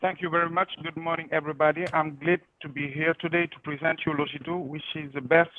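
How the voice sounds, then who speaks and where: A man from Senegal with a Senegalese accent giving a prepared business pitch as a startup founder over a tinny video call. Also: level tone, fast, slight background noise.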